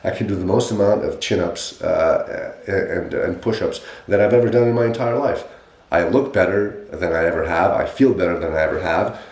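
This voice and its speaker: Man, 40s, low voice, mellow dark tone, emphatic casual podcast talk, echoey room. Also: moderate pace. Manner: animated, emphatic storytelling with frequent restarts. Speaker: podcast host sharing his fitness story.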